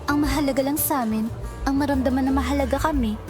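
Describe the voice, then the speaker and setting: A woman playing a lively, chatty young office assistant who teases her coworkers in an audio drama. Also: high-pitched, quick pace, natural voice. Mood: earnest. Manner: bright, chatty and teasing. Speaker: lead character and narrator. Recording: music bed under voice. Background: romantic background music.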